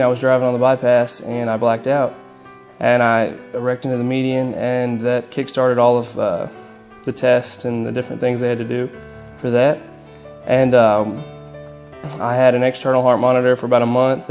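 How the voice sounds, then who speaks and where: A young man of nineteen tells his own story to the camera, matter-of-fact and steady. His delivery stays flat and level, broken by frequent uhs and pauses. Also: mid-range voice. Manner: even, matter-of-fact, many fillers. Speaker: interviewee.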